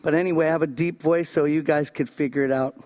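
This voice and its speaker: A man's voice: fairly high pitch, steady tone. A man giving an earnest testimony and joking asides at a church service. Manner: earnest, self-deprecating, quick asides. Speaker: church service speaker and singer. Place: church service with live music. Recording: loud instrumental music behind.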